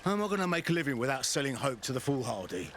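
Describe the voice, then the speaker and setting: An actor playing a cocky, fast-talking male treasure hunter in a video game, boastful and cheeky like a salesman. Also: medium pitch, big pitch swings, a bit bright, a little hiss or hum. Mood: hopeful, cheeky. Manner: boastful, wheedling salesman patter. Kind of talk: video game character acting.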